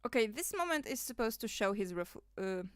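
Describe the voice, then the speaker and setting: A woman's voice: fairly high pitch, very animated, brisk, clear, resonant tone, no echo, studio quality. A woman from Poland, rambling and laughing through casual livestream commentary, full of fillers. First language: Polish. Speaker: streamer.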